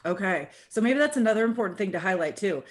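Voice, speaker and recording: A woman hosting a relaxed group conversation. She sounds upbeat and encouraging, talks in a loose, chatty way and keeps checking in with her guests. Her pitch sits in an ordinary middle range. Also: fast. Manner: upbeat, encouraging, chatty with many "right?" tags. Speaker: host. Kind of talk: casual group chat.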